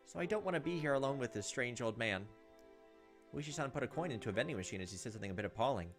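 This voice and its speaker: Casting: male gaming host, quick delivery, story narration with wry asides, faint music behind. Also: mid-range voice, animated. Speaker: let's play host. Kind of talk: reading game text aloud with casual commentary.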